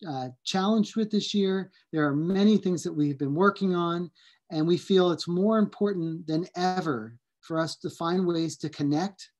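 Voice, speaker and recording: A middle-aged man, a physician, welcoming people to a community health webinar over a video call, friendly and unhurried with frequent fillers. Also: on the high side, a little mellow. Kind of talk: opening remarks and introductions.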